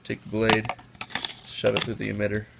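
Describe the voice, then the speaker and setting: A man's voice: fairly low pitch, completely flat delivery, normal speed, creaky. A young man who is sick and sniffling, chatting casually and rambling as he handles toys at a table. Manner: chatty, rambling with self-corrections. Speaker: toy reviewer. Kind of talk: casual hands-on product comparison. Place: indoors at a table. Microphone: camera mic, off-screen above the table. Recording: noisy home recording.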